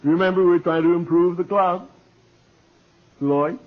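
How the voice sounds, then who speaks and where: An actor playing a pompous, self-important middle-aged club leader with a chuckle in 1940s radio comedy. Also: male voice, high voice, steady tone, unhurried. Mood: earnest, self-important. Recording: muffled old 1940s radio recording.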